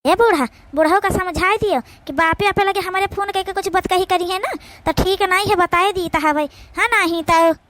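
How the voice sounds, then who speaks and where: Cartoon village daughter-in-law played by a woman: extremely high pitch, Awadhi accent, brash, indignant, argumentative, very dry close sound. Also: normal speed, fairly bright tone, no echo. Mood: complaining. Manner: scolding, argumentative, rustic. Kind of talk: cartoon comedy dialogue.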